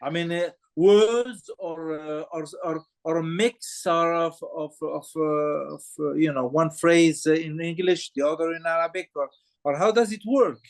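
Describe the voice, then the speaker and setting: A man from Morocco interviewing filmmakers, speaking haltingly with many fillers and restarts. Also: on the high side.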